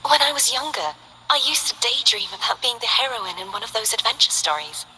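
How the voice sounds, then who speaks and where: A cheerful, candid young woman dancer in a game, chatting warmly. Her voice sits a little high and rings very bright. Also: big pitch swings, fairly quick, a little hiss or hum, full character voice, poor audio. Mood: friendly. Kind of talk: game voice lines.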